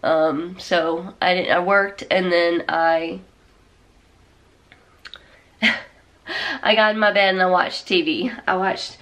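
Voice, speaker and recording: Slow-talking congested woman vlogging. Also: fairly low pitch, steady tone, fairly bright tone. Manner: rambling, many fillers and restarts. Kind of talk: casual chat. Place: small room at home, indoors. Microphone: camera or phone at arm's length.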